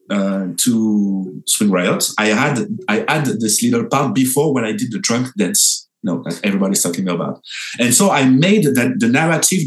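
A young man with a French accent, a dancer telling his story in an interview, animated and full of halting restarts. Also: low-quality recording. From France. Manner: animated, lively pitch jumps, halting restarts.

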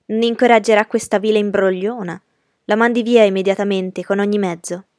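A woman's voice: a little high, normal speed, vocal fry, a little mellow, no echo. Older society widow, played by a female reader: haughty, emphatic, fussing, storybook grande dame, dry recording. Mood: indignant. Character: pompous aristocratic older widowed mother, indignant, fussing.

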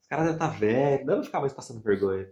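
A man's voice: medium pitch, animated, muffled recording, crowd noise. Young são guy rattling off slang, mano velho every other word, echoey room. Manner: fast, slangy, full of fillers like mano and velho. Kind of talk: casual chat.

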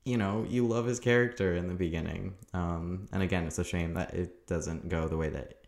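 A young man co-hosting a casual TV recap chat, talking in a monotone, rambling with lots of fillers and frequent laughs. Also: low voice, moderate pace, vocal fry. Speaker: podcast co-host. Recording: echoey room.

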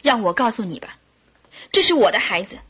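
A woman's voice: a little high, sing-song, fairly quick, noisy background. An actress playing an earnest, devoted mother and wife, pleading yet resolute, in classic Mandarin radio drama style. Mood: composed, candid.

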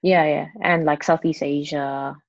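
Soft-spoken low-voiced Hong Kong woman. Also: fairly even intonation, a little slow, dry recording, lo-fi sound. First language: Cantonese. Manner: soft-spoken, hesitant, many "like" fillers. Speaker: guest. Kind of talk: casual interview answers.

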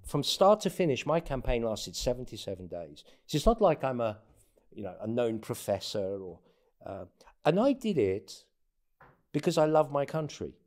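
A man speaking as an interview guest in casual anecdotal talk, often halting and repeating words as he goes. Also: mid-range voice, big pitch swings, a little mellow. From born in North Africa, has lived in England and the United States. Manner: halting, stammered repetitions of words. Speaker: interview guest, former presidential candidate.

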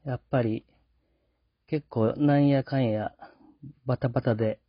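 Male host, husky voice, polite halting delivery, casual reflective monologue, dry lo-fi recording. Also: mid-range voice, fairly even intonation, no room sound. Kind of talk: casual podcast sign-off monologue. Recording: dull lo-fi sound.

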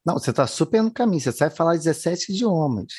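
A man's voice: on the high side, very animated, fast, mellow, breathy edge. A man hosting a talk show, theatrical and joking, often apologizing. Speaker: host.

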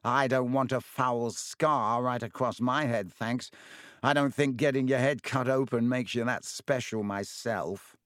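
A cocky teenage bully drawls his taunts slowly and scathingly, as a man puts him on for an audiobook. The sneer never leaves his voice. The recording is close and dry. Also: mid-range voice, fairly even intonation, dry recording, natural voice. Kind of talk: audiobook character voice.

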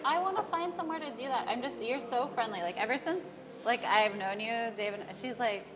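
A woman's voice: a little high, fairly quick, music in the background. A woman in her thirties, a guest photographer, chatting enthusiastically over coffee and full of "like" fillers. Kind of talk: casual chat over coffee. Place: coffee shop. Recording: reverberant café with crowd chatter.

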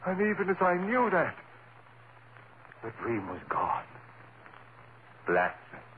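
A haunted man in an old-time radio horror play, speaking with theatrical intensity and halting dread. The sound is thin and narrow, with faint noise. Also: sits high, sing-song, full character voice. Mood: rising terror. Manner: theatrical, intense, halting narration swelling into anguish. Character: haunted, dread-filled man named Darrell recounting his first nightmare. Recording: old radio drama, narrow band, noisy.

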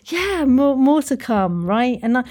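Effusive, high-voiced woman podcast host. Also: sing-song, unhurried, a little mellow. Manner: effusive, playful wordplay. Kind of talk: chatty interview and sign-off to listeners.

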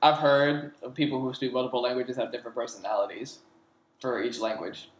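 Young man, measured casual talk, echoey. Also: mid-range voice, fairly even intonation, slight background noise. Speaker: podcast co-host. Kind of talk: casual chat. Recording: echoey room.

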